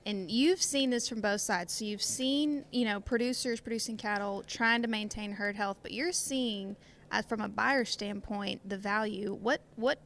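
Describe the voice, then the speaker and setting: Female interviewer, American accent, medium-pitched, conversational broadcast delivery over faint noise. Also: moderate pace, fairly bright tone. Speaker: show host, interviewer.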